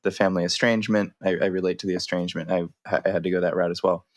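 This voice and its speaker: A man's voice: low-pitched, flat delivery, rapid-fire, dry recording. A man co-hosting a podcast, thoughtfully summing up points while jotting notes. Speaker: podcast co-host. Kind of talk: conversational podcast discussion.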